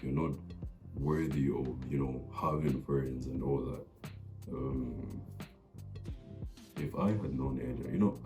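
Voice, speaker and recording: A young man talking earnestly to camera, hesitant and full of fillers and restarts. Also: very deep voice. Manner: earnest, confiding. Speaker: vlogger. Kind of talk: personal talk to camera.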